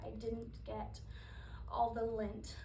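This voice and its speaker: A woman performing a wistful, grieving daughter in a dramatic monologue, speaking in a subdued, confessional, halting way. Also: a little high, monotone. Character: wistful woman recalling childhood grief for her astronaut mother. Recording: echoey room, distant mic, background noise.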